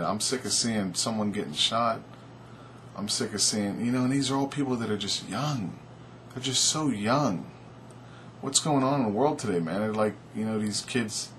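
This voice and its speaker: A man in his thirties vlogging from his couch, rambling earnestly with a loud voice and lots of "man" and "you know". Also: lowish voice, slight background noise. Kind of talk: casual opinion vlog to camera. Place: small indoor room, seated on couch.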